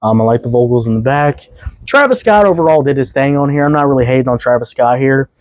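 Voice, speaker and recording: A young man hosting a casual music review podcast, his voice a bit hoarse, rambling loosely with fillers and restarts. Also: mid-range voice, expressive, quick pace, no echo. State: voice slightly hoarse.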